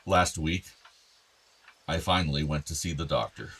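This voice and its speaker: An actor playing an ailing, tender older father in a radio play, reflective and gently earnest. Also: extremely low pitch, normal speed, breathy edge, exaggerated voice. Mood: grave, confessing. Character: ailing, tender, wistful aging father of two grown sons.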